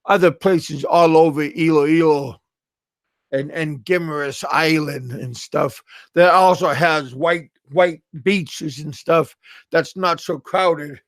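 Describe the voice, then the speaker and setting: A middle-aged American man chatting casually on a live stream, halting and repeating his words. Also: on the high side, no room sound, studio quality. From USA. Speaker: livestream host.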